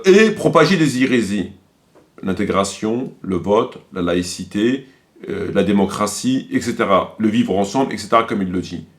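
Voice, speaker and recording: A man with a mid-pitched voice delivers unscripted commentary on religion and politics. He explains things step by step in a polemical, lecturing manner. His delivery is halting, with words repeated as he looks for the next phrase.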